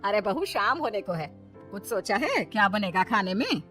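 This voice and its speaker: A woman's voice: medium pitch, big pitch swings, moderate pace. A woman voicing a bossy, crafty elderly mother-in-law in a Hindi family cartoon, with a commanding, colloquial manner. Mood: motherly, shrewd. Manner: commanding, colloquial, calls out loudly. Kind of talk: animated Hindi family story dialogue. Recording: music behind the voice. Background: background music.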